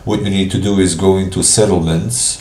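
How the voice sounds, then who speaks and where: A man with a cold, sounding congested, casually walking viewers through software on a live screen share. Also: deep voice, fairly even intonation, echoey room. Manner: casual, thinking aloud with pauses. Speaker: software trainer.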